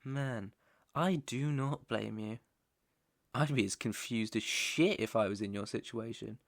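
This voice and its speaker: A British man playing a menacing, teasing mob boss's son in an intimate ASMR roleplay, with a deliberate, taunting delivery. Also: medium pitch, gravelly. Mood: taunting, stern. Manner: slow, deliberate, taunting, swearing. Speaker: roleplay audio performer.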